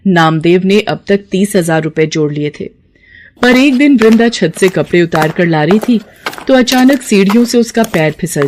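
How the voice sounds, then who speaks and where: A woman's voice: mid-range voice, darkish tone, slight background noise. A woman narrating a scripted moral story as a storyteller.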